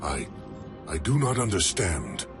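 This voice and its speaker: Solemn alien warrior, deep, expressive pitch, reverent, troubled, formal, game cutscene over music. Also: male voice, measured pace, gravelly, exaggerated voice. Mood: troubled, grave. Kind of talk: scripted game cutscene dialogue.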